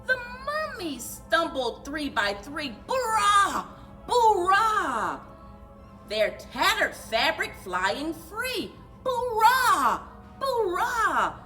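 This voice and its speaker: A woman reading a children's storybook aloud in an animated, rhythmic singsong for a library story time. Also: extremely high pitch, drawn-out words, faint music behind. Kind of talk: children's storybook read-aloud with chanted refrains.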